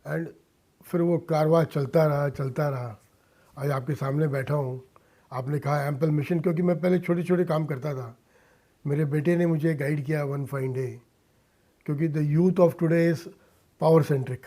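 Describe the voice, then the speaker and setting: A man's voice: on the high side, fairly even intonation, a little slow, mellow. A middle-aged man from India speaking earnestly as a radio interview guest, dropping in English phrases. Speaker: interview guest, businessman and social worker. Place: radio studio.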